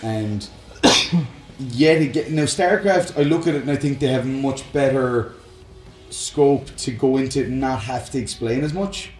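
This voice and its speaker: A man's voice: mid-range voice, a little slow, echoey room, rough recording. A man co-hosting a casual discussion show, explaining things in a rambling, enthusiastic way. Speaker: co-host of a film and games discussion show. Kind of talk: casual banter and opinionated chat. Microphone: close microphone on a stand.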